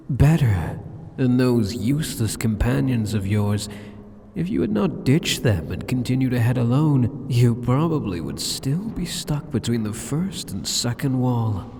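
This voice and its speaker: In a long, cavernous reverb, a sadistic demon king acted by a man speaks slowly and with teasing condescension. His voice is dark and mellow, full of arrogant mockery, in the style of an ASMR roleplay. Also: medium pitch, slight background noise. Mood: smug, mocking. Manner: slow, mocking, condescending, teasing. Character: sadistic, arrogant male demon king taunting a captive hero.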